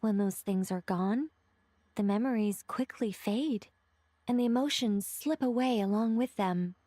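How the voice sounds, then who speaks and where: A woman playing an ancient female angel in a video game, eloquent and wistful, speaking in a measured storytelling way. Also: mid-range voice, dark tone, no room sound, natural voice. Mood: wistful, reflective.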